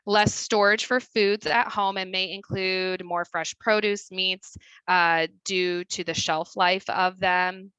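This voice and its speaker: A woman with a Midwestern accent walks through her slides as a dietitian, chatty and full of fillers. Her voice is bright and crisp, sitting a little low, and the sound is dry and close. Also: fairly even intonation, takes it slowly, no room sound, low-quality recording. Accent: Midwestern American. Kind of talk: webinar presentation. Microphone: video call.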